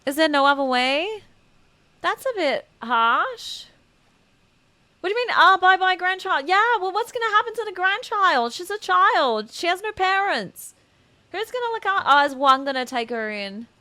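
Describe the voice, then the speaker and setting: A woman's voice: extremely high pitch, very animated, normal speed, sharp tone, dry recording. A woman game streamer reacting casually during a playthrough, emotive and playful, recorded on a close microphone.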